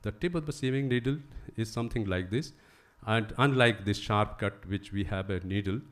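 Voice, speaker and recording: A middle-aged man with a fairly low voice presents at a conference podium. He explains step by step and pauses often with "uh" as he goes through his slides. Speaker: eye surgeon giving a conference talk. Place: conference hall, standing at a podium. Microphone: podium microphone.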